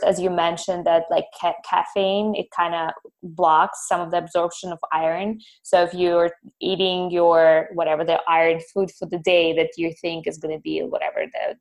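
A woman's voice: low voice, vocal fry. A woman with a Ukrainian accent, a native Ukrainian speaker, chatting eagerly about nutrition and sometimes searching for words. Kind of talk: casual podcast conversation.

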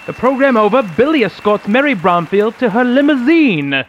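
High-pitched man narrating melodramatically. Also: very animated, moderate pace, muffled recording, background noise. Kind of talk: melodramatic old radio serial narration.

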